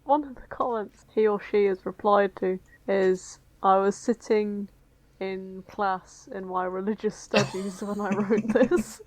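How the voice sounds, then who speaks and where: A woman's voice: mid-range voice, fairly even intonation, measured pace, darkish tone, dry recording, poor audio. A woman co-hosting a podcast, bantering and reading aloud in a sweary, incredulous manner. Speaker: podcast co-host.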